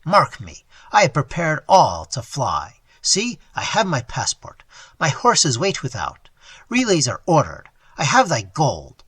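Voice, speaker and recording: A man's voice: on the high side, wildly swooping pitch, a little slow, fairly bright tone, slightly rough, no echo, full character voice. A man voicing a bitter young man who speaks with cold, harsh scorn. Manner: harsh, sneering.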